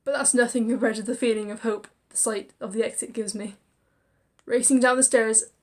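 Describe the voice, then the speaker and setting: A woman hosting a gaming video, reading visual novel narration aloud in an expressive, theatrical way. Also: fairly high pitch. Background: game music.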